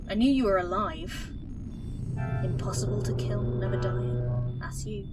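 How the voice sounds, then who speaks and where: A woman playing a defiant, weary alien time traveller in an audio drama, intense, wry and declamatory. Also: mid-range voice. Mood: grave. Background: loud music and sound effects.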